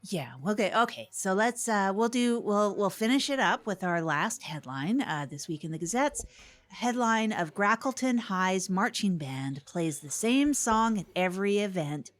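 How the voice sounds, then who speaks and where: An easygoing small-town community radio host, acted by a woman, with a mid-pitched voice. The talk is chatty and reassuring, full of hesitant repeats, in a loose improvised comedy style. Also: expressive, a little slow, close to an everyday voice.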